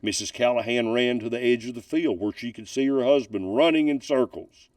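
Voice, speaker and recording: A man reading a ghost story aloud from a book as a storyteller, with deliberate, dramatic emphasis. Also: medium pitch, a little mellow, no echo, distant mic. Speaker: narrator reading ghost stories.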